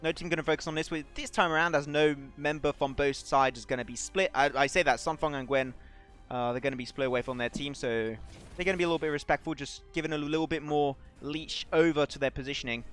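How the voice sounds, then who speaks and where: A male esports caster giving excitable play-by-play commentary with a hoarse, fading voice. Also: fairly high pitch, soft background music. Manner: excitable, rapid play-calling. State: hoarse, losing his voice.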